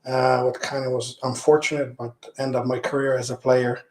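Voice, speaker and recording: A man's voice: medium pitch, flat delivery, moderate pace, noisy background. A man from Poland, answering interview questions earnestly, often restarting his phrases as he tells stories. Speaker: interview guest, former pro goalkeeper. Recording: echoey room.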